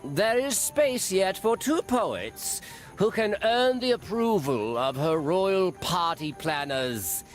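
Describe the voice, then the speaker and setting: A man voicing a pompous, halting royal town crier in a cartoon game, declaiming in mock-archaic words. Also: sits high, lively intonation, soft background music. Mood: proclaiming. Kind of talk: animated video game voice acting.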